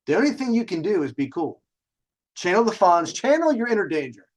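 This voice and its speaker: Guy telling stories and dating advice, kinda high voice, really swingy pitch, cocky and sweary. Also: brisk. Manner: animated, cocky, conversational, swearing. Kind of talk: casual storytelling with dating advice.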